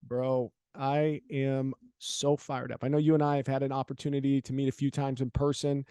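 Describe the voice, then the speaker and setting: A man's voice: mid-range voice, flat delivery, moderate pace, warm tone, clean tone, no echo, studio quality. A man hosting a podcast, chatting casually and energetically, swearing now and then and laughing often. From Louisiana, USA. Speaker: podcast host. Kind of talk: casual interview chat.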